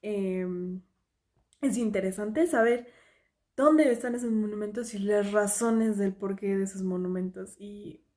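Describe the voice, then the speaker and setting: A woman's voice: mid-range voice, unhurried, darkish tone. A woman with a Mexican accent giving casual, off-the-cuff reaction commentary in an informal, slangy, hesitant way, often repeating herself. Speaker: reaction video host.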